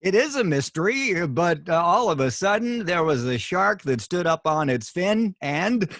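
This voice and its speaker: A man's voice: high-pitched, sing-song, unhurried, fairly bright tone, no room sound. A man hosting a radio talk show over a video call, making dry jokes as he chats. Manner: joking, dry wisecracks. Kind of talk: casual chat.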